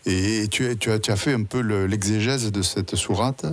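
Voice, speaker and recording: A man's voice: fairly low pitch, normal speed, crowd noise. A man hosting a radio conversation, asking questions and interjecting with short affirmations. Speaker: radio host.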